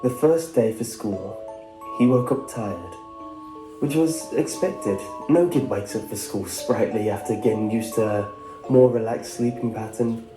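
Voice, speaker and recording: A man's voice: lowish voice, a little slow. A man reading a scripted first-person horror story as its narrator. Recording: roomy echo under music. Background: music.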